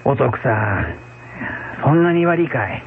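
Hard-drinking, friendly country packman played by a male actor, colloquial and animated, radio drama on a dull recording. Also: medium pitch, measured pace. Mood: worried. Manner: rough, folksy, colloquial. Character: good-natured, hard-drinking packhorse driver, rustic man. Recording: muffled radio recording with hum, faint noise.

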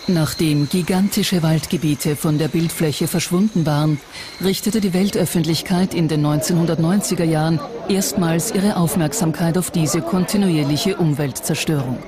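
A woman's voice: deep voice, moderate pace, a crowd in the background, background noise. A woman reading scripted documentary narration as the narrator. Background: music.

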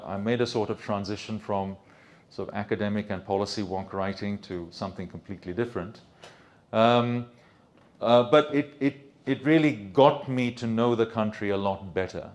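A man in his fifties with a British accent, giving a conference lecture into a handheld mic, relaxed and hesitant with many ums and restarts. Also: on the low side. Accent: British English. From Sri Lanka. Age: 50s. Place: conference room with projector screen. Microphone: handheld microphone.

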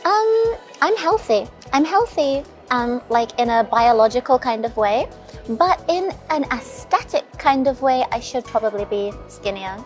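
A woman's voice: sits high, very animated, measured pace, faint music behind. A woman in her twenties from the Caribbean and England, chatting casually and candidly as an interview guest over a meal. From Caribbean, later England. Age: 20s. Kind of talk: casual chat over afternoon tea. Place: spacious hotel lounge restaurant, indoors. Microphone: small recorder or phone close across the table.

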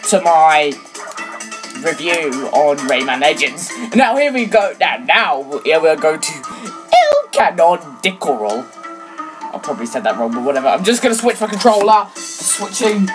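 A teenage girl whose first language is French, chatting excitably and rambling while playing a video game, with sudden shouts. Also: deep voice, measured pace. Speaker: let's play gamer. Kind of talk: casual game commentary. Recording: loud game music under voice.

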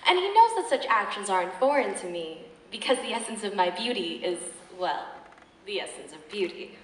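Distant roomy recording of a young woman doing slam poetry, emphatic and rhythmic, dramatic pauses. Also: mid-range voice, big pitch swings, slight background noise. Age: young adult. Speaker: slam poet performer. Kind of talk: dramatic spoken-word slam poetry recitation. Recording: echoey room, distant microphone.

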